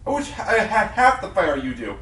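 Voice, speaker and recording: A man playing an idealistic, excitable young pony cub reporter in a radio play, dramatic and fervent. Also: sits high, normal speed, recorded from a distance. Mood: excited. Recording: echoey room, off-mic.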